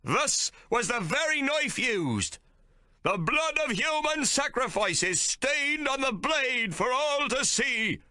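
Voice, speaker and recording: A man's voice: extremely high pitch, sing-song, takes it slowly, sharp tone, no room sound, clean studio sound, pitched above the performer's own voice, heavily acted. A man voicing a zealous, pompous whaling captain who preaches in archaic thee-and-thou speech. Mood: accusing.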